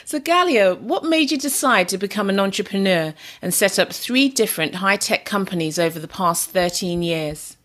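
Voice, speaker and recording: A woman's voice: medium pitch, lively intonation, moderate pace, hardly any pauses, a bit bright. A woman doctor hosting a podcast, reading a formal scripted introduction and asking questions. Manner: formal, reads a prepared script.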